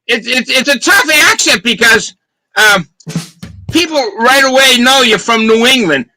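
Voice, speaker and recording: A man's voice: extremely high pitch, sharp tone, dry recording, poor audio. A man with a Boston accent, chatting casually on a video call and describing a beer's taste in detail. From Boston, Massachusetts. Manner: detailed, descriptive talk about flavor. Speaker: beer reviewer, co-host. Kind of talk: casual chat, beer tasting review.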